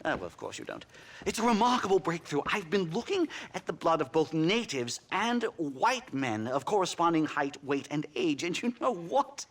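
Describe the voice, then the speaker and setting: A middle-aged actor playing an eccentric, nervous anthropology professor who stammers, fusses and bursts into excited lecturing in a game cutscene. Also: male voice, fairly high pitch, moderate pace. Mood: eager lecturing. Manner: stammering, fussy, giddy bursts. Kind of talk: video game cutscene acting.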